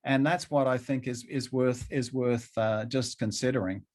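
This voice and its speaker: A middle-aged man speaking conversationally as an interview guest, haltingly, often repeating phrases to drive them home. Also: mid-range voice, steady tone, darkish tone.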